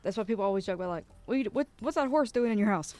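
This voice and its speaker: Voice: mid-range voice, animated, racing, a little mellow. A young adult in their twenties, a contestant in a casual trivia game, chatty and self-deprecating. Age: 20s.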